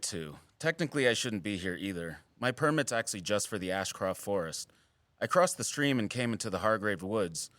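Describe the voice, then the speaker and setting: Low-voiced, wry wildlife biologist. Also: male voice, fairly bright tone, no room sound. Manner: teasing, dry sarcasm. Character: wry, guarded wildlife biologist, earnest about protecting habitat. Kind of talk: naturalistic audio drama acting.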